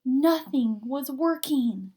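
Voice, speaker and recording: Slow, higher-voiced woman reading to children. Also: animated, mellow. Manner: slow, deliberate, stretches words for emphasis. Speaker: story reader. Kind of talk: expressive children's read-aloud.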